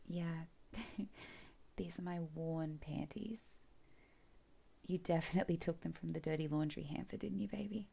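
A woman playing a husky, gravelly, teasing mother. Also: lowish voice, full character voice. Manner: soft, coaxing, often whispered. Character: teasing, commanding middle-aged mother, woman. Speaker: voice actor in an audio roleplay. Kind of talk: solo audio roleplay.

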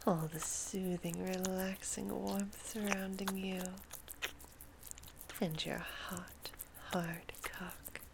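A woman in sexually explicit adult ASMR audio, moving between breathy whispers and a soft, cooing, soothing voice. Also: mid-range voice, noisy background.

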